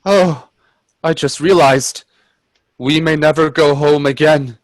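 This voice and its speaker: A man's voice: fairly high pitch, takes it slowly, no echo. A man playing a cautious, anxious Edwardian time traveller in a radio play, earnest and reflective. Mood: anxious, resigned. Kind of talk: radio play acting and narration.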